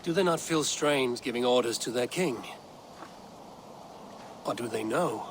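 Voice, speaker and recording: An actor playing a shrewd Norse warrior leader in a video game, talking in a dry, wry and pointed way. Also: male voice, medium pitch, measured pace, a little hiss or hum, lightly acted. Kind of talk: video game cutscene acting.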